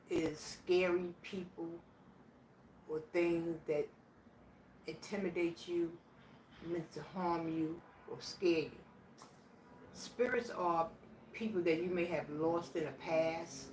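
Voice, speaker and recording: Vlogger, woman in her 60s, slightly low voice, unhurried and plainspoken; distant mic, faint hiss. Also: darkish tone. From Virginia, USA. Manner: plainspoken, slow and reflective, answering questions aloud. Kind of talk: casual question challenge. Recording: echoey room, voice from a distance, faint background noise.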